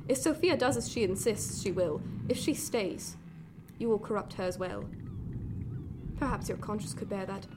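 A woman playing a middle-aged, cold and imperious 18th-century gentlewoman in a radio drama, speaking formally and bitingly. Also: mid-range voice, moderate pace, close to an everyday voice. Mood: bitter, commanding. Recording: clear background noise, ship ambience.

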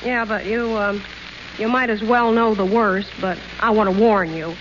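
An actress in a 1930s radio play playing a sardonic household maid with a dry, deadpan, wisecracking delivery. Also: female voice, mid-range voice, moderate pace, close to an everyday voice. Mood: wry. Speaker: Molly. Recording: dull old radio broadcast with hiss.

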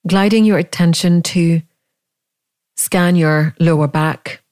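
A woman leading a guided meditation, speaking in gentle, inviting questions with long pauses between phrases. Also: on the low side, steady tone, dry recording, studio quality. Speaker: meditation teacher.

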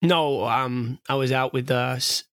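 A man improvising comedy banter with a croaky, hoarse voice and a dry, understated delivery. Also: mid-range voice, a little slow, a bit bright.

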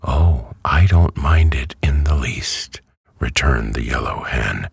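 A man reading a storybook who voices a prim, easily offended female yellow hen. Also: a bass voice, lively intonation, takes it slowly, creaky, husky, dry recording, clean studio sound, full character voice. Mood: indignant.

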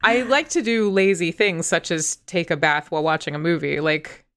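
Woman, young adult, podcast co-host, congested, chatty, self-deprecating, frequent laughter, fairly low voice. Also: moderate pace. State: congested, recovering from illness. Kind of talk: casual chat.

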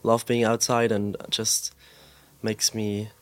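A young man from Austria, a guest in a podcast interview, talking in a relaxed way with lots of "like" and "um" and an easy laugh. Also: lowish voice, dry recording. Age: young adult. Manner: relaxed, fills pauses with "like" and "um", laughs easily. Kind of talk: casual interview chat. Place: pop-up store.

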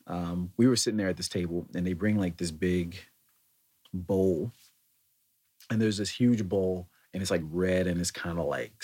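A man's voice: deep voice, husky. A man casually telling a rambling personal anecdote, often correcting himself and chuckling as he goes. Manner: rambling, self-correcting storyteller.

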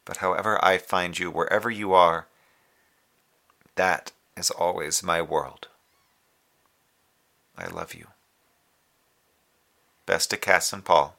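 A man playing a formal, dutiful moonbase communications officer giving a measured, precise report in an audio drama. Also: sits low, steady tone, gravelly, sharp tone, exaggerated voice. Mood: tender, longing. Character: formal, dutiful male moonbase radio officer, tender underneath. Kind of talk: audio drama scripted log transmission.

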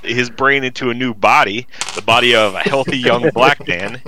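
A man's voice: mid-range voice, moderate pace, pushed and bright, rough recording. A man co-hosting a podcast, telling joking, sweary anecdotes in casual banter, recorded with noticeable background noise. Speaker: podcast co-host.

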